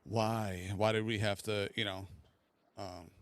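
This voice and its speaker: A man's voice: lowish voice, little pitch movement, slow pace, clear, resonant tone. A man co-hosting a podcast in casual chat, rambling and hesitant in an easygoing way. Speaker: podcast co-host.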